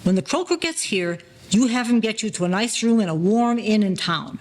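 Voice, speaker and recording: A man's voice: high-pitched, lively intonation, moderate pace. Man playing a wary, slangy gangster, noisy track. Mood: businesslike. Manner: slangy, formal present-tense gangster talk. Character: wary, slangy old-time male gangster called the Dutchman. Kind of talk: 1940s gangster audio play acting.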